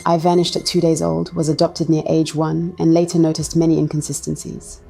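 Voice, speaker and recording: A woman raised in Belgium, speaking as an interview guest in a calm, matter-of-fact way. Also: deep voice, a little fast, vocal fry, slightly rough, faint music behind, low-quality recording. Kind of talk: personal interview.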